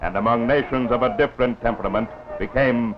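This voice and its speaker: A man's voice: medium pitch, fairly quick, very noisy. A man narrating a 1940s wartime newsreel in a grave, oratorical, scripted style. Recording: dull lo-fi 1940s film soundtrack with music.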